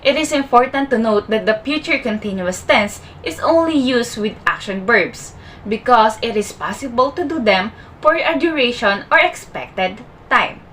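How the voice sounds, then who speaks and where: A young woman presenting a grammar lesson, reading in a slow, careful textbook manner. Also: fairly high pitch, animated, fairly bright tone, distant mic, slight background noise. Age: young adult. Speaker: student presenter. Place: indoors, virtual slide background.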